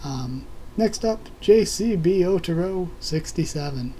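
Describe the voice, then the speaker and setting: A man's voice: high-pitched, expressive, unhurried, mellow, slight background noise. A man hosting a casual video where he answers viewer comments, rambling with many filler words while he is losing his voice. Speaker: YouTube host answering comments.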